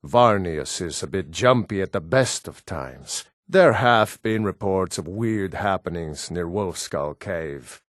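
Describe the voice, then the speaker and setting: A man voicing an earnest Nord townsman in a fantasy video game, speaking scripted lines in a measured, formal way. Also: low-pitched, lively intonation, dry recording, clean studio sound. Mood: calm, formal.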